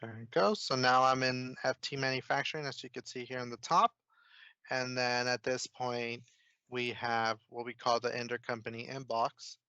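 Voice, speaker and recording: Male software consultant, informal explainer, steady even intonation, dry close sound. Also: medium pitch, normal speed, clear, resonant tone, no echo, muffled recording. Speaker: software consultant, demo presenter. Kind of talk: casual screen-share software walkthrough.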